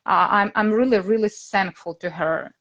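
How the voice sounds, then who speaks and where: A Ukrainian woman in her thirties speaking English with a Ukrainian accent, a teacher being interviewed in a casual live chat, pausing often to search for words. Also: medium pitch, fairly bright tone. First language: Russian. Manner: hesitant, searching for words, many fillers. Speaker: guest teacher.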